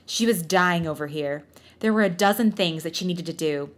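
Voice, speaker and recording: A woman's voice: on the low side, normal speed. A woman podcast co-host reading fan fiction aloud for comedy, with giggly, playfully theatrical delivery and casual banter.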